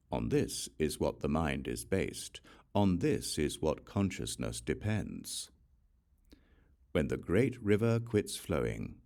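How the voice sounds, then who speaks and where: Male audiobook reader for sacred text: very low, creaky voice, solemn and measured, with expressive pitch movement. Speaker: audiobook narrator. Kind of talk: scripted scripture narration.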